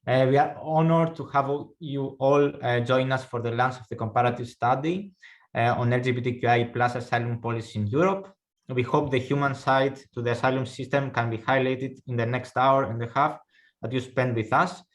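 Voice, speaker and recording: A man with a mid-range voice hosts an online launch event. He speaks formally, with many hesitant pauses. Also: medium pitch, fairly even intonation. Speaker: event host. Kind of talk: formal welcome.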